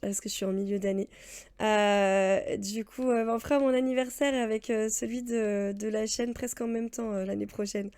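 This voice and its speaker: A woman's voice: mid-range voice, fairly even intonation, normal speed, darkish tone, smooth. A woman in her thirties, chatting casually while streaming a game, rambling with many fillers and answering her viewers. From France. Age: 30s. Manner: rambling, chatty, laughs often, answers chat. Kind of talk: casual let's-play chat.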